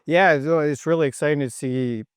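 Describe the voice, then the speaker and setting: A middle-aged man from Canada giving thoughtful, halting answers as a guest in an interview. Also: on the high side, clear, resonant tone, no echo, clean studio sound. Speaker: podcast guest, technology executive.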